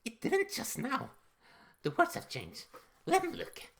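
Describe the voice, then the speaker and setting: A man's voice: very high-pitched, huge pitch swings, a little slow, creaky, a bit bright, full character voice. A man voicing an anxious, bookish, earnest male character in a dramatic, urgent amateur story reading.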